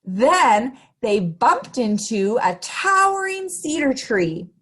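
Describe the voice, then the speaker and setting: A woman's voice: a little high, sing-song, takes it slowly, fairly bright tone, slightly rough. A woman hosting story time, reading to young children in an exaggerated, sing-song, interactive way. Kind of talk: animated picture-book read-aloud for young children.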